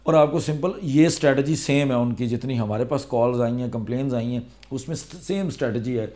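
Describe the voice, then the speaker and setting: A man with a Pakistani accent talks straight to his viewers in a vlog. His voice is mid-pitched with a soft, relaxed tone. He explains his advice in a conversational way, one step after another. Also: fairly quick. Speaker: vlogger giving legal awareness advice.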